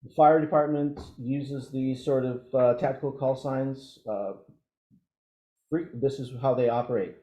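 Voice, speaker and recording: A man giving an informal training presentation as an instructor, explaining things haltingly and thinking aloud through examples. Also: mid-range voice, steady tone, dark tone. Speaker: emergency radio trainer. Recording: dull, roomy, echoey audio.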